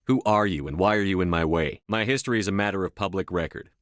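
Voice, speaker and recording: A man's voice: low voice, fast talker, no room sound, clean studio sound, lightly acted. A male actor voicing a stern, commanding military officer in a science fiction video game, speaking with firm, clipped authority. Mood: curt, guarded. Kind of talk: video game voice acting, dramatic dialogue lines.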